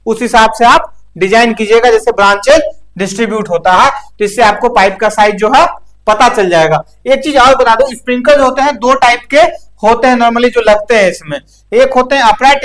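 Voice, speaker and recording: A man's voice: very high voice, fairly bright tone, low-quality recording. A man giving an informal practical technical lecture, explaining step by step with frequent check-in tags. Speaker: instructor.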